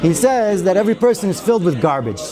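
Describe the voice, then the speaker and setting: A man with a fairly high voice teaches an informal Torah class, circling back and restarting his thoughts and dropping in wry asides. The murmur of listeners gathered in the hall is clearly audible behind him. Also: big pitch swings, fast, music in the background, a crowd in the background. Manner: chatty and digressive, with frequent restarts and Hebrew and Yiddish terms. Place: synagogue study hall with a group of listeners.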